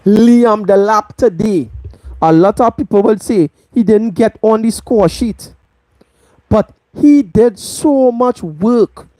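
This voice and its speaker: A woman with a Trinidadian accent reviews a football match on her live channel, taking her time and drawing out her words. She keeps repeating key phrases to drive her point home. Her voice sits at a middle pitch and sounds dry and close. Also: slow, warm tone, no echo, low-quality recording. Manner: emphatic, repeats points for stress.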